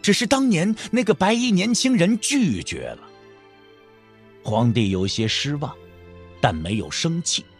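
A man narrating an audio drama with expressive, literary, scripted storytelling. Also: on the high side, measured pace, long pauses, faint music behind.

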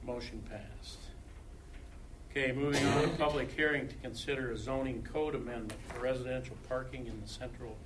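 A man's voice: medium pitch, fairly even intonation, moderate pace, heavy background noise. A man serving as mayor, chairing a city council meeting in a formal, procedural way, calling votes and the roll. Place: council chamber.

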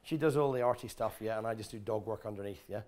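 Blunt animated middle-aged man. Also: on the low side, fast talker. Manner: blunt, animated, swears freely, many restarts. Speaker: guest speaker at an expo. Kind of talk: informal talk with audience questions. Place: large exhibition tent with a small audience.

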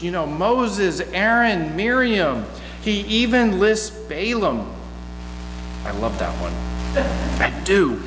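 Unhurried man preaching in an echoey hall. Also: on the high side. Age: middle-aged. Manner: folksy, conversational, animated asides. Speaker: guest preacher. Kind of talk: informal sermon with storytelling. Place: church sanctuary, at a pulpit. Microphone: gooseneck pulpit microphone. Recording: echoey hall, long reverb, mains hum.

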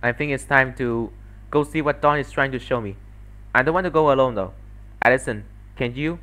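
A man's voice: medium pitch, brisk, bright, forceful tone, lightly acted. A man voicing an anxious, tired, tender-hearted girl heroine with a hesitant manner, in an amateur visual novel fandub.

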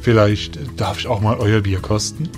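A man playing a sly, flattering faun in a fantasy audio drama, recorded slightly away from the microphone. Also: lowish voice, normal speed, soft background music, natural voice. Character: sly, flattering male faun feigning good cheer.

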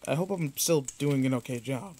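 A man acting in an audio roleplay as a protective, teasing, rough-edged but kind pretend boyfriend, talking intimately and casually. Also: mid-range voice, moderate pace, mellow, natural voice. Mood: playful, relaxed.

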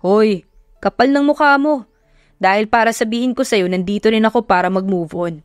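A woman's voice: a little high, normal speed, dry recording, clean studio sound, faint music behind, lightly acted. A woman voicing a cocky, teasing young man who talks in a smug way.

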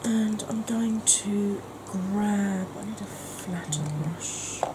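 A woman in her forties chats her way through a makeup look, step by step, sometimes going soft and breathy. Her voice sits at an ordinary middle pitch. She's recorded close to the camera at home, with a clear hiss running underneath. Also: level tone, slow. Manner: chatty, talks through each step, drops to soft near-whispers. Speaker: makeup vlogger. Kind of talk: chatty makeup tutorial narration. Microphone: camera close to the face.

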